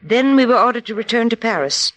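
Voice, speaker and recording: A woman's voice: a little high, expressive, brisk, fairly bright tone. An actress playing an earnest, middle-aged widow telling her wartime story in a 1940s radio drama. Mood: earnest recounting. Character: widowed settled American lady recounting wartime adventure, earnest, cautious.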